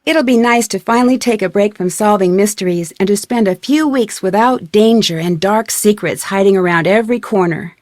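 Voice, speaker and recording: A young woman playing a plucky, inquisitive amateur detective in a video game, speaking earnestly with clear, expressive delivery. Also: medium pitch, fairly quick, hardly any pauses, no room sound, clean studio sound, lightly acted. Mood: upbeat, narrating.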